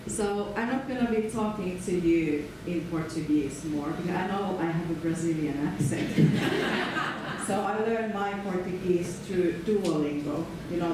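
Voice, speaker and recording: A middle-aged woman from Finland with a Finnish accent, giving a relaxed, chatty conference lecture with frequent fillers. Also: lowish voice. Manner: relaxed, chatty, laughs often, many fillers. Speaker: guest lecturer. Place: large lecture hall. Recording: echoey hall, far from the mic, room noise.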